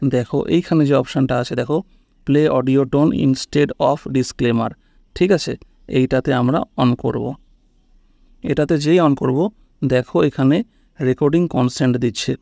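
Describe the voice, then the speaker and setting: A man with a medium-pitched voice, recorded dry and close. He walks through phone steps casually as a tutorial host, often checking that the listener is following along. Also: vocal fry, a little mellow, no room sound.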